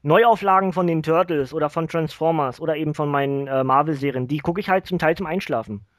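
Fast-talking higher-voiced man chatting. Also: a bit bright, no echo, studio quality. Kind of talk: casual podcast discussion about cartoons.

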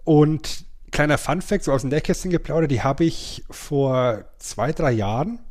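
A man's voice: a little high, moderate pace, darkish tone. A man, chatting casually and playfully as a podcast co-host. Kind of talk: casual nostalgic chat.

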